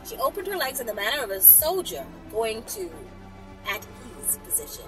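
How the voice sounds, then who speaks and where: Female storyteller, high-pitched and very animated, teasing and theatrical, recorded away from the mic with music. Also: moderate pace, recorded from a distance, rough recording. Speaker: storyteller, channel host. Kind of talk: dramatic story reading with playful asides.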